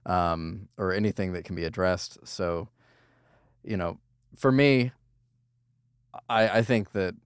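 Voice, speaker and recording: A young man with a very low, smooth, resonant voice talks earnestly about his work. His thoughts run long and loop back on themselves. The sound is close and dry. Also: slow pace, pauses often, no room sound. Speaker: recording engineer, guest. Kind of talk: casual podcast conversation.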